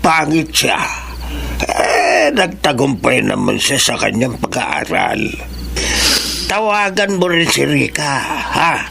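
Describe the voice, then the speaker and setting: An old Filipino fisherman, very creaky and gravelly. He is a doting, folksy grandfather in a radio drama, talking slowly with lively swings of pitch. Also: slight background noise, full character voice. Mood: fond, reflective. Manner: hesitant, folksy, fond. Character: kindly old fisherman grandfather, proud, doting, plain-living.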